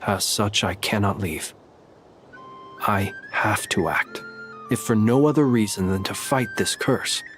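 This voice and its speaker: An actor voicing a calm, teasing male lighthouse keeper in a game, speaking with dry humor and an unhurried manner. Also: on the low side, faint music behind. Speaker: game character.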